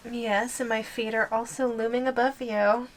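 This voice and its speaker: Woman acting a high-voiced, teasing, possessive giantess girlfriend. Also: little pitch movement, normal speed, slight background noise, lightly acted, poor audio. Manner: teasing, giggly, languid delivery. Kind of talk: ASMR audio roleplay.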